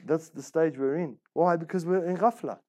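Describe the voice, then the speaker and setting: A man's voice: a little high, moderate pace, darkish tone. A man giving a religious class as an Islamic teacher, talking colloquially with rhetorical questions, repetition and Arabic religious phrases. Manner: colloquial, rhetorical questions and repetition, role-plays inner voices. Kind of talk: religious class, conversational sermon.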